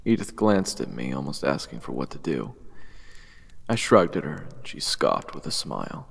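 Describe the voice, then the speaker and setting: A man playing a reserved young knight who narrates his own fantasy audio drama in a gravelly voice. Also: extremely low pitch, big pitch swings, normal speed, fairly bright tone, long reverb tail, full character voice. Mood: brooding. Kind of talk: first-person dramatic narration with dialogue. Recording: music behind the voice.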